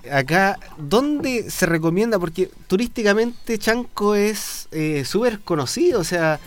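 Smooth-voiced interviewer. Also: male voice, sits high, expressive, no echo. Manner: brisk, chatty, asks follow-up questions. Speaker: interviewer, host. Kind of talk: local broadcast interview.

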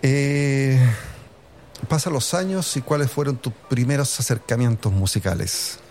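A man's voice: medium pitch, measured pace, a little mellow, slight background noise. A man with a Chilean accent hosting a radio interview show, speaking in a friendly, presenting style. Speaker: radio talk show host. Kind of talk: formal radio introduction, then friendly interview. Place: hotel venue, voice on radio broadcast.

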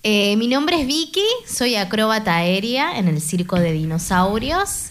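A woman's voice: medium pitch, big pitch swings, measured pace. A woman who works as a circus aerial acrobat, talking enthusiastically as a radio guest. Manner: upbeat, enthusiastic promoter. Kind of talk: guest interview.

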